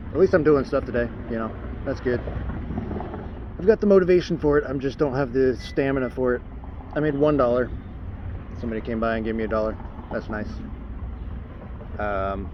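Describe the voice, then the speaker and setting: Man, worn voice, rambling vlog to camera; dull camera mic, traffic noise. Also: mid-range voice. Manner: rambling, self-doubting, many ums and restarts. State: voice worn from singing. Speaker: travel vlogger. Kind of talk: casual vlog talk to camera. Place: outdoors by roads and stores. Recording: slightly dull outdoor camera audio with clear street noise.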